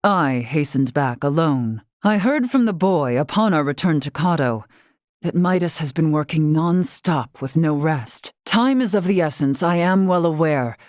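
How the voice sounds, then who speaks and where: Wise formal fantasy lady from a video game, low voice, old-fashioned eloquent wording, dry wit, super dry studio sound. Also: darkish tone, dry recording. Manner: archaic, measured, eloquent phrasing. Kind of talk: scripted video game character acting.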